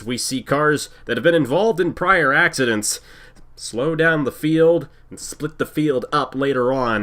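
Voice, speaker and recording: A man with a congested voice from a cold, calling a simulated stock car race as play-by-play host in an energetic, sing-song announcer style. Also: mid-range voice. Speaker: play-by-play commentator. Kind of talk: sim racing broadcast commentary.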